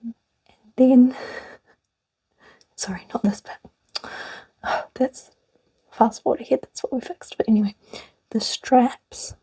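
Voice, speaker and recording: Slow whispering young woman, dark and breathy. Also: a little high, dry recording, rough recording. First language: English. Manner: rambling and chatty, with little laughs. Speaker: ASMR channel host. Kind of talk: whispered chatty ASMR. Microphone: close mic.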